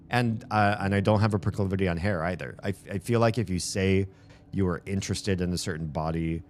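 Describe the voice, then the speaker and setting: A man's voice: low voice, a little hiss or hum. A man chatting casually and at length on a live stream from a room at home, rambling and correcting himself as he goes. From United States. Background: music.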